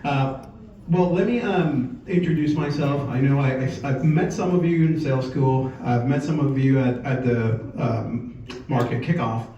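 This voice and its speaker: Echoey, mellow man telling his story. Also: medium pitch, measured pace, far from the mic. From Puerto Rico. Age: 40s. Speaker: speaker at a company sales meeting. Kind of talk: informal presentation, personal storytelling. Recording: echoey room from afar, muffled, faint noise.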